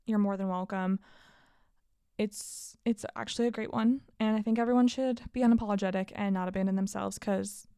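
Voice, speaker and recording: Chatty young woman with vocal fry. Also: medium pitch, steady tone, brisk, warm tone, dry recording, studio quality. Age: 20s. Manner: candid, chatty, upbeat. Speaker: podcast host. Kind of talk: casual solo podcast monologue.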